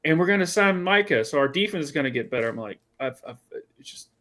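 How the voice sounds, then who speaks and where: A man co-hosting a casual sports podcast, joking around and acting out quotes in an animated way. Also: fairly high pitch, fast. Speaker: podcast co-host.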